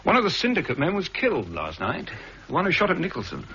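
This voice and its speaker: A man playing a brisk, cool-headed government agent in a 1960s radio drama, speaking with crisp, dry understatement. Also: fairly high pitch, huge pitch swings, bright, forceful tone, heavily acted. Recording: dull archive radio recording with faint hiss.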